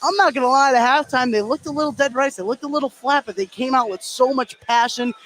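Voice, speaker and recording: A man's voice: very high voice, fast talker, fairly bright tone, a little hiss or hum, rough recording. A man giving casual, hyped color commentary on a live basketball game in informal language. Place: indoor school field house.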